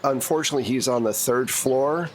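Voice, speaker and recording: A man's voice: medium pitch, a little fast, a little hiss or hum, poor audio. A man narrating a tabletop roleplaying game as game master, casual and given to joking asides.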